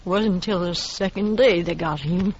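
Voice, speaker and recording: Actress as stubborn frontier widow, muffled old radio. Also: female voice, on the low side, unhurried, lightly acted. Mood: fervent recollection. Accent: American. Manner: plain folksy speech, fervent, dramatic. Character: stubborn, grieving frontier homestead widow, defiant. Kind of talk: old-time radio western acting. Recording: muffled old radio recording with faint noise.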